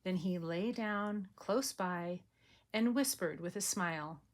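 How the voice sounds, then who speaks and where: An older woman, a grandmother, reading a bedtime storybook aloud in a gentle, soothing way. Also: on the low side, slow pace.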